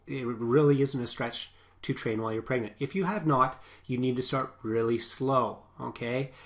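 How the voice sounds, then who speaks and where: A man who works as a personal trainer gives casual fitness advice with a stuffy, sniffly voice, often checking in with a quick "okay?". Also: mid-range voice, a bit bright. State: stuffy, sniffly from a nasal infection.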